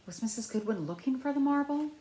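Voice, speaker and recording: A middle-aged woman reading a children's picture book aloud with deliberate phrasing. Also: high voice, animated, dark tone. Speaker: storybook reader. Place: small room at home by a window, indoors. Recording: roomy, echoey indoor sound.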